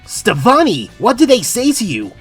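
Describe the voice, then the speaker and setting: A woman's voice: a little high, wildly swooping pitch, normal speed, faint music behind. A woman playing an anxious but earnest teenage boy space captain in a comic dub. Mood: urgent, resolute.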